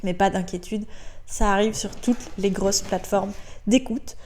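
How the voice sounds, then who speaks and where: Woman, French accent, solo podcast host; warm, mellow tone, chatty and upbeat, lots of filler sounds. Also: medium pitch, fairly even intonation, unhurried. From Belgium. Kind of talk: casual solo podcast monologue. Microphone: podcast microphone.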